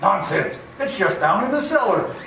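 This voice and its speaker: A man's voice: high voice, big pitch swings, normal speed, gravelly, bright, forceful tone, a little hiss or hum, heavily acted. Casting: man to play pompous hearty madman who thinks he's President, radio play, heard distantly in a very reverberant room. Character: deluded, boisterous nephew who believes he's the President. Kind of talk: radio theater acting. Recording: very echoey room, distant mic.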